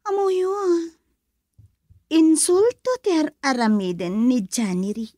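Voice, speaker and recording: High-pitched, pouty young Ilocano woman. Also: big pitch swings, takes it slowly, dark tone, dry recording, clean studio sound, exaggerated voice. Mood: hurt, pouting. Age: young adult. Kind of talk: Filipino radio drama acting.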